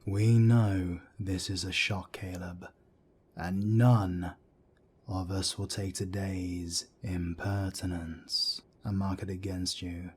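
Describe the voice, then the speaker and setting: A man voicing a grave male werewolf council elder who speaks in a solemn, measured way. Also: sits low, a little hiss or hum. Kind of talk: amateur audio drama acting.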